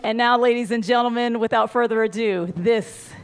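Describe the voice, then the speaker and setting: A woman's voice: a little high, normal speed. A woman hosting a live table read, introducing each actor in an upbeat announcing manner. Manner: upbeat, announcing, with gravelly fry. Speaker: event host introducing the cast. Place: live venue.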